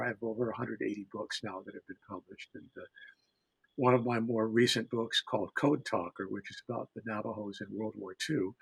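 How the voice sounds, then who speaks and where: Older male storyteller, very husky with gravelly creak, measured and reflective, dry close sound. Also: on the low side, fairly bright tone, no room sound, rough recording. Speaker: author and storyteller panelist. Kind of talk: reflective panel talk.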